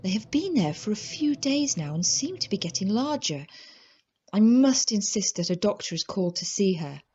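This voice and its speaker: A woman playing a devoted, anxious young Victorian lady in a radio drama, speaking with gentle, earnest propriety. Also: mid-range voice, sing-song, moderate pace, breathy edge. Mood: worried, hushed. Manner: tender, earnest. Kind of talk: radio drama acting and narration. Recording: dull lo-fi audio, faint noise.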